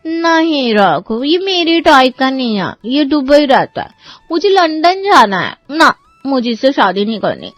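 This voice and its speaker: A woman's voice: high-pitched, very animated, unhurried, no room sound, faint music behind. A woman voicing a picky, stubborn, film-struck young sister in a cartoon story. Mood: picky, dismissive. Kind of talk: Hindi cartoon story voice acting.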